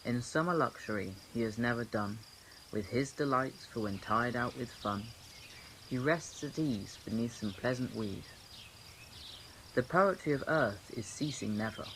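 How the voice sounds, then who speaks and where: A man reading poetry aloud as a measured recitation. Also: mid-range voice, fairly bright tone. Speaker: poetry reader. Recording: clearly noisy outdoor ambience, chirping insects. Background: insect and nature sounds.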